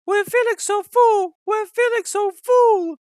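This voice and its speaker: Man doing squeaky, grumbling railway coaches. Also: fairly even intonation, takes it slowly, darkish tone, dry recording, clean studio sound.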